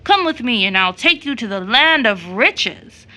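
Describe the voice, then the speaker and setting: Pompous rich old man of a storybook, acted by a woman, commanding, huffy, bright forceful tone. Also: fairly high pitch, very animated, a little slow, exaggerated voice. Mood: persuasive.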